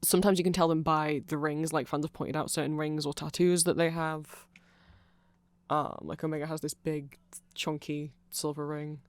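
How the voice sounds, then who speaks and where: A performer voicing a nerdy, awkward, eager vampire friend in a rambling, hesitant ASMR roleplay, halting and self-conscious. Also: medium pitch, little pitch movement, smooth, dry recording, close to an everyday voice. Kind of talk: rambling ASMR roleplay, explaining fan lore to a listener.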